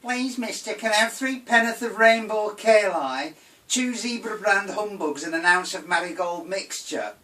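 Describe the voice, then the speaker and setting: A man's voice: high-pitched, normal speed, bright, forceful tone, distant mic. An actor playing a cheeky young boy. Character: cheeky northern English schoolboy.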